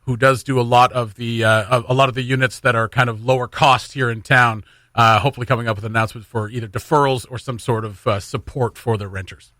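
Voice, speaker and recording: A Canadian man chats as a radio host with a bright, punchy voice at a middle pitch. He is casual and jokey, repeating himself for emphasis, close to the microphone in a very dry studio. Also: fairly even intonation, quick pace, no room sound. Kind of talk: casual morning radio chat.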